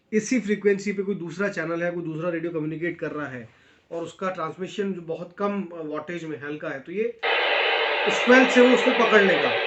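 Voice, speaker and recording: High-pitched Indian man reviewing a gadget. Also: fairly bright tone. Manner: mixes in English words and phrases, addresses viewers as friends. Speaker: product reviewer. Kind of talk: casual unboxing and review, explaining step by step. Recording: dull lo-fi sound, faint noise, clicks.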